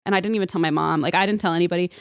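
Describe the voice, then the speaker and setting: A woman's voice: lowish voice, steady tone, racing, smooth, dry recording, clean studio sound. A woman in her thirties telling comic stories among friends in a dramatic, self-mocking manner. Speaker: podcast guest and storyteller.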